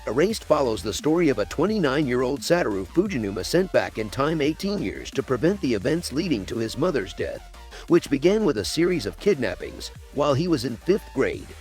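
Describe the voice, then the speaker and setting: A man's voice: medium pitch, a little mellow. A man hosting and narrating a scripted countdown video.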